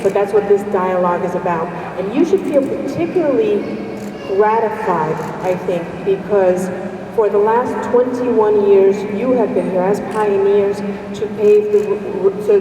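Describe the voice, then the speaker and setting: Woman speaking warmly in an echoey hall. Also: medium pitch. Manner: earnest, measured, with blessings. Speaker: guest speaker, judge. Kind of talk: formal community address. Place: large community hall. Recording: echoey hall from far off, noisy.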